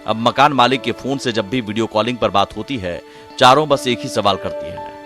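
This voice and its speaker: A man's voice: fairly low pitch, brisk. A man narrating a news report in a dramatic, emotive and theatrical scripted style. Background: loud background music.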